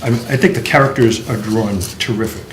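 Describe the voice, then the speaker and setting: A man in a writers' group, appreciative and halting, with many fillers. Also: fairly low pitch, fairly even intonation. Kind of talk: casual group discussion. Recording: roomy echo with faint noise.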